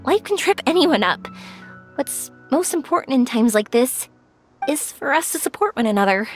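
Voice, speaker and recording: An actress playing a kind, spirited young woman in a video game, speaking earnestly and gently over music. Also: high voice, animated, normal speed. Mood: earnest, compassionate.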